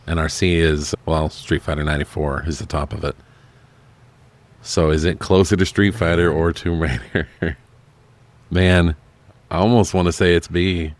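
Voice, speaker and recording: Very low-voiced easygoing male podcaster. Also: steady tone, moderate pace, long pauses. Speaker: podcast co-host reviewing movies. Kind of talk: casual chat.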